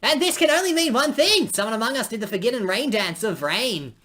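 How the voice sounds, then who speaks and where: A woman's voice: on the high side, lively intonation, brisk, fairly bright tone, voice pushed up. A woman voicing a doom-prophesying ant with ominous, alarmist, dramatic declaiming. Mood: urgent, dramatic.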